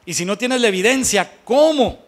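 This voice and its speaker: High-voiced Mexican man preaching bluntly. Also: normal speed, fairly bright tone. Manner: blunt, colloquial, emphatic with rhetorical questions. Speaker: pastor. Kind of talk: conversational sermon to a congregation.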